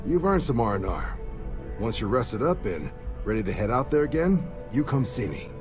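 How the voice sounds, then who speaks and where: A man's voice: mid-range voice, sing-song, normal speed, music in the background, lightly acted. A man playing an affable, businesslike military commander in a video game, with hearty, informal authority.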